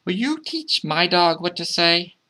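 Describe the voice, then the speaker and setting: Man playing an earnest high-pitched child. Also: sing-song, unhurried, sharp tone, exaggerated voice. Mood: eager, calling. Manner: pleading, calling out. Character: earnest, worried young child who loves their dog.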